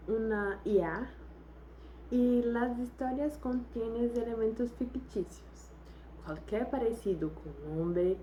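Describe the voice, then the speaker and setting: Slow, hesitant woman. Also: on the high side, dark tone, slight background noise, rough recording. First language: not Spanish. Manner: hesitant, apologetic, searching for words. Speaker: channel host. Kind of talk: casual channel intro.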